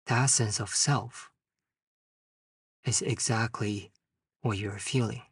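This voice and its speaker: A man leading a guided meditation, speaking in short, unhurried phrases with long pauses. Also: on the low side, fairly even intonation, slightly rough, studio quality. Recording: distant mic in a roomy, echoing space.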